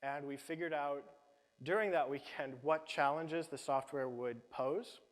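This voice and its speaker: A man's voice: a little high, measured pace. Explaining man in a reverberant hall. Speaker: startup cofounder, business lead. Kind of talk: audience Q&A pitch answer. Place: event hall.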